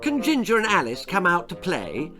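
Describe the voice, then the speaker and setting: Man playing a high-pitched, jolly English schoolboy. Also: huge pitch swings, normal speed, music in the background. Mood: eager. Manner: jolly, plummy 1940s slang, exclaiming. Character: eager, polite English schoolboy in old-fashioned adventure story.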